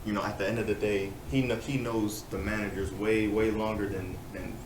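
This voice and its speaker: Hesitant low-voiced young man, echoey. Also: level tone, fairly quick. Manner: hesitant, filler-heavy. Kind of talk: casual chat. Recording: echoey room with audible noise.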